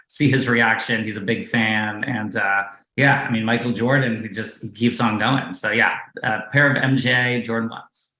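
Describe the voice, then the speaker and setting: A man's voice: medium pitch, echoey room, rough recording. A man being interviewed as a guest investor, talking casually with many fillers and tech jargon. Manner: filler-heavy, relaxed, jargon-laden.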